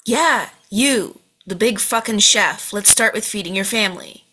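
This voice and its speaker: Woman acting a sharp, exasperated, foul-mouthed mother. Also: medium pitch, unhurried, breathy edge. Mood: bossy, irritated. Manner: exasperated, swearing, snapping. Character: volatile, foul-mouthed, overwhelmed mother in her late forties. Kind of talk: amateur table read of TV drama script.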